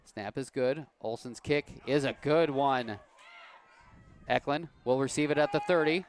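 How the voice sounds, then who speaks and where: A man calling a high school football game at an easy, unhurried pace. His voice sounds a little worn and cracks now and then. He is mid-pitched and relaxed behind the mic. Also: clean tone. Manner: calm, unhurried play calling with easy laughs. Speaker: play-by-play announcer.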